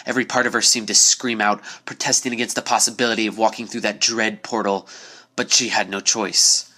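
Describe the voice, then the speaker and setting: A man's voice: fairly low pitch, level tone, brisk, sharp tone. A man reading a scripted story aloud as narrator, in a dramatic storytelling style.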